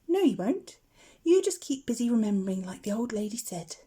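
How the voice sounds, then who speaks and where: A woman voicing a brisk, reassuring and slightly stern mother barn owl in a children's storybook. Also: fairly high pitch, big pitch swings, dark tone, slightly rough.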